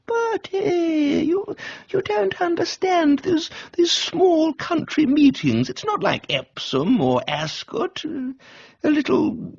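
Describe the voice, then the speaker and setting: A man voicing a brash, excitable middle-aged English aunt, gushing and bossy, in a comic radio book reading. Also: very high-pitched, takes it slowly, gravelly, dry recording, muffled recording, pitched above the performer's own voice, heavily acted. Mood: pleading.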